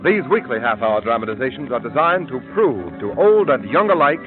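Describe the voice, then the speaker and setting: A formal, emphatic male radio announcer with lively intonation. His voice comes through a thin, tinny old broadcast with music under it. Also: medium pitch, brisk. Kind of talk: 1930s radio commercial and narration.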